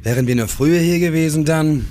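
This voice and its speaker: A man's voice: a little high, brisk, mellow, slight background noise, close to an everyday voice. A man in a crime radio play, playing a driven, probing police detective who also narrates his case. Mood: urgent, probing. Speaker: radio drama actor. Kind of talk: German crime radio play acting, with first-person narration.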